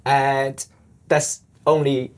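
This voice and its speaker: A man from with a deep voice, a guest in an interview who explains things earnestly and often restarts his sentences. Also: little pitch movement, extremely slow, clean tone. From Hong Kong. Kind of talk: conversational interview.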